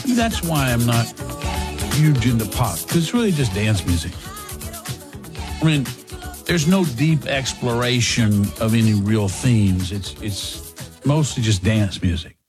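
A man in his fifties hosting a talk radio show, chatting with callers in a conversational, opinionated way. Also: mid-range voice. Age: 50s.

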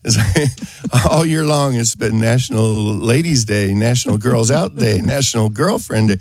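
A man's voice: medium pitch, a little slow, a little mellow, slightly rough, no room sound, studio quality. A man hosting a gardening radio show while getting over a cold, chatting casually and joking between chuckles. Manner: joking, rambling, chuckles often. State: has a cold.

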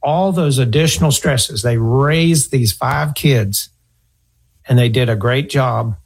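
A middle-aged man with a gravelly, husky voice speaks as a financial advisor giving advice. He talks earnestly, tells stories, and circles back to repeat his points. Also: mid-range voice, slow. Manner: earnest, storytelling, repeats himself. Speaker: financial advisor radio host. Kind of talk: conversational advice talk.

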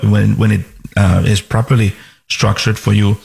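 Male financial advisor from Finland, radio guest; gravelly, deep-ish, measured delivery with restarts. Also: level tone, darkish tone. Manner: measured, many restarts and fillers. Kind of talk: radio call-in talk.

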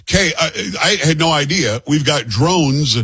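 A man's voice: a little high, expressive, a little slow, fairly bright tone, husky, no echo. A man from Florida with an American accent, hosting a news commentary interview in an informal, plain-spoken way. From Florida, United States. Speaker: news commentary show host.

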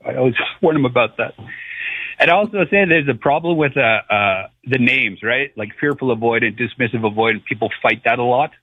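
A man in his thirties calling in to a radio show over the phone, chatting in a casual, rambling, halting and jokey way. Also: medium pitch, breathy edge, no room sound. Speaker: caller, comedian. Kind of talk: casual chat. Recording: tinny, narrow phone line on radio.

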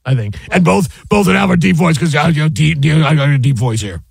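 A man's voice: a little high, animated, fairly quick, gravelly, slightly rough, no room sound, clean studio sound. A man hosting sports talk radio, chatting casually with jokes and lots of restarts. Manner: rambling, joking, many restarts.

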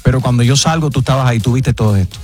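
A man hosting a morning radio show, reading promos quickly and mixing Spanish with English phrases. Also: medium pitch, loud music behind the voice.

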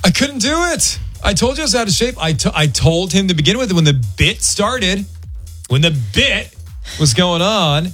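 A man's voice: sits high, animated, normal speed, fairly bright tone, breathy edge, soft background music. A man in his fifties hosting morning radio banter, joking at his own expense. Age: 50s. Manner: self-mocking, jokey. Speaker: radio host.

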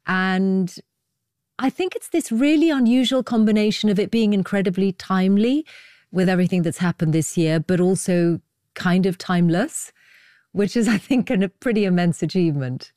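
A woman's voice: medium pitch, normal speed, dark tone, no room sound, studio quality. A woman conducting a considered literary interview as host, articulate and probing in her questions and comments.

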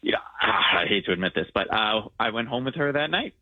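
A man's voice: fairly low pitch, lively intonation, a little fast, dry recording. Young man, caller, earnest, hesitant, phone line, dry sound. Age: 20s. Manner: earnest, slightly hesitant confession.

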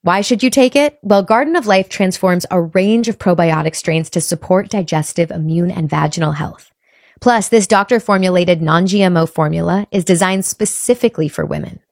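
Woman reading an ad, lowish voice, dead dry sound. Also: moderate pace, no room sound. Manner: friendly, explaining. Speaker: ad reader. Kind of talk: scripted commercial read.

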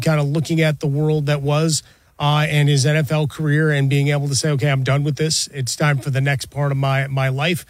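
Man, slightly high voice, nostalgic sports chat, thoughtful, dry room. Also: no room sound. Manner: reflective, nostalgic, with fillers and restarts. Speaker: sports podcast co-host. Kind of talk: casual sports talk.

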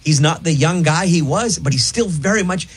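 A man hosting a radio show, reading listener texts and rambling with halting restarts. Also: on the high side, lively intonation, breathy edge. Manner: rambling, halting, lots of fillers. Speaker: radio host. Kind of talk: casual radio chat.

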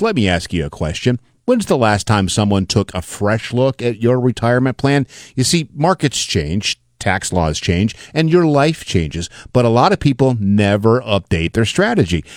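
A man with a fairly low voice delivers a conversational radio endorsement. His pitch moves around a lot as he addresses the listener. The recording is close and dry. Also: no room sound. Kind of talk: scripted advert read, conversational.

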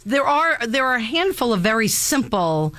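A woman's voice: a little high, big pitch swings, moderate pace, fairly bright tone, no echo. Young woman hosting a casual money chat. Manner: conversational, lots of agreeing back-channel. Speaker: host.

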